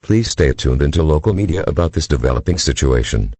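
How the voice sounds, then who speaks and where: A man's voice: very deep voice, expressive, fairly quick, heavy background noise. A man reading a scripted emergency alert bulletin in a formal, impersonal way.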